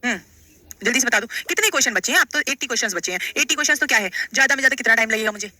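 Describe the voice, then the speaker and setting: A woman's voice: fairly high pitch, animated, very fast, sharp tone, no echo. A woman teaching an exam class live, repeating phrases, prompting students with check-in questions and addressing them with casual endearments. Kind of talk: live online Hindi grammar lesson, question by question.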